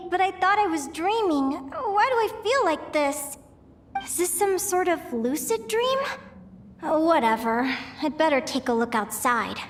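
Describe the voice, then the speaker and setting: A woman's voice: high-pitched, a little hiss or hum. A woman voicing a young adventurer in a game cutscene, eager and full of wonder as she talks to herself. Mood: puzzled. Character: young woman adventurer dreaming of owning a ship.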